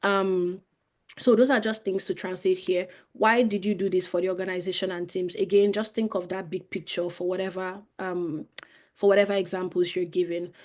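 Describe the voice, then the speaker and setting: A woman's voice: fairly low pitch, little pitch movement, moderate pace, a little mellow. A young woman from Nigeria with a Nigerian accent, presenting a live webinar in a conversational style with frequent fillers. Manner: conversational, explains with many fillers.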